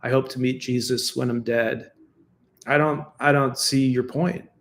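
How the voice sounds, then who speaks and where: A man's voice: medium pitch, fairly even intonation, measured pace. A man from the United States giving a defensive, argumentative solo commentary, talking casually and laughing between points. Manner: conversational with fillers and restarts. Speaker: political commentator.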